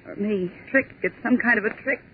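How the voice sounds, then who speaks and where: An actress playing a frightened young woman trying to stay calm and reasoning out loud, in 1940s radio drama style, on a tinny, scratchy old broadcast. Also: mid-range voice, fairly quick, natural voice. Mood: shaken, defiant. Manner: tense, insistent, clinging to reason.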